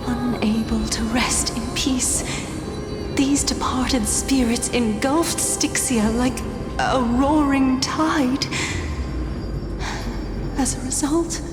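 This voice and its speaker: Game role: mournful woman of a fallen city, high-pitched and solemn, cavernous reverb over music. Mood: grave, mournful. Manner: solemn, formal, archaic storytelling. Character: sorrowful dying woman of a drowned, doomed city. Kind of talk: dramatic video game acting. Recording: echoing hall reverb under loud music.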